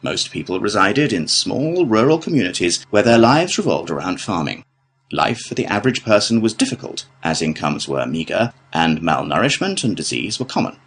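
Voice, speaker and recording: A British man delivering a scripted academic lecture in a measured, formal manner. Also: sits low, very animated, a bit bright, slightly rough. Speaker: lecturer in a listening test.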